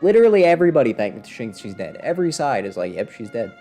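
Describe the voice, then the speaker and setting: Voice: mid-range voice, very animated, fast, dark tone, soft background music. A streamer giving casual, slangy commentary over a video game in a sarcastic, questioning manner. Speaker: live streamer.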